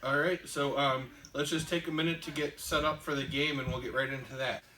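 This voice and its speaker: A man co-hosting a casual podcast, explaining board game rules in a halting way with lots of ums and restarts. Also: fairly high pitch, steady tone, pushed and bright. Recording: echoey room, distant mic.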